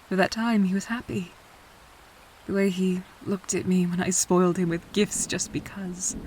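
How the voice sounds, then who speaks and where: A woman acting in an audio drama as a tender, anguished countess and adoptive mother, speaking in a halting, hushed way. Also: on the low side, slight background noise, close to an everyday voice, low-quality recording.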